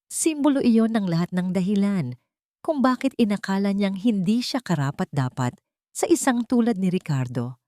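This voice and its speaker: A woman giving an expressive, sentimental scripted narration as the storyteller of a romantic drama. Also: mid-range voice, moderate pace, no room sound, studio quality.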